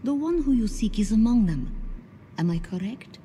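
An actress playing a poised, calculating secret organiser in a video game, speaking in a composed, formal and persuasive way. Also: female voice, a little high, lively intonation, normal speed, mellow, lightly acted. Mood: grave, persuasive. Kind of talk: video game cutscene voice acting. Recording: reverberant hall, faint background noise.

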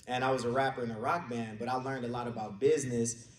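A man's voice: medium pitch, flat delivery, quick pace. Detroit man speaking in an echoey room. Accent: American, Detroit. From Detroit, USA. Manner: colloquial, anecdotal. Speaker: conference speaker, real estate agent. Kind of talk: informal motivational storytelling talk to an audience. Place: indoor conference room, speaking on a stage. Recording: echoey room, distant mic, background noise.

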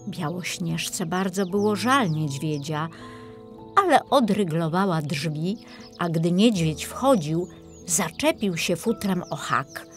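A woman narrating a scripted children's fairy tale as an expressive audiobook storyteller. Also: lowish voice, slow, faint music behind.

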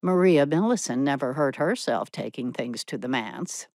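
Sharp-tongued spinster, read by a woman, contemptuous, lively up-and-down pitch, storybook gossip. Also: moderate pace, no room sound, studio quality. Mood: indignant gossip.

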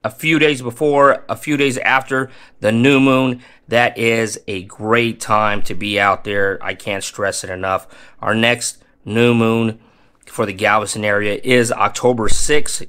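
A man in his thirties giving casual, energetic fishing tips to camera in a small home room, stressing points by repeating them. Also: fairly low pitch, clear, resonant tone. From Texas Gulf Coast. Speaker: fishing channel host.

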